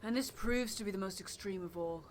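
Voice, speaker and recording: A woman's voice: medium pitch, fairly quick, slight background noise, lightly acted. A woman voicing a weary male ship captain who solemnly reads a grave personal log in a video game. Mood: grave, foreboding. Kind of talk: dramatic reading of game dialogue.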